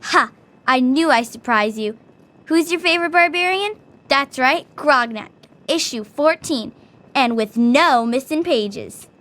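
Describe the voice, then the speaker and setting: A woman's voice: sits high, expressive, a little slow, fairly bright tone. A woman playing a friendly, teasing young girl in a video game, with a playful, cheerful manner. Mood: playful, pleased. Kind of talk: video game character acting.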